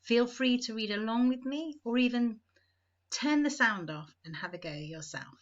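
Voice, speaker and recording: Animated, high-voiced woman reading a children's story. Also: dull audio. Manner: deliberate, emphatic, repetitive build-up phrasing for young listeners.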